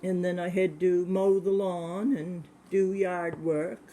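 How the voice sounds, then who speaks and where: A man's voice: sits high, level tone, slow, dark tone, a little hiss or hum. Older man recalling childhood, hesitant. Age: 70s. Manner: plain-spoken, hesitant with many uhs. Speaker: interviewee. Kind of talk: casual recollection.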